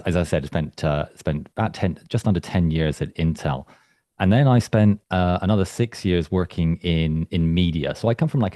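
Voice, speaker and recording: A man's voice: very deep voice, darkish tone, no room sound, clean studio sound. A man giving a chatty, self-deprecating presentation over a video call, often restarting his sentences. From England. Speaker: invited speaker, studio founder. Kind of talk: presentation to an online club.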